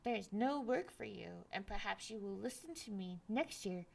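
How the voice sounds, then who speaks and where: A woman's voice: mid-range voice, expressive, normal speed, creaky, a little mellow. A woman voicing a stern old mother figure, the North Wind, who scolds her son firmly. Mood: scolding.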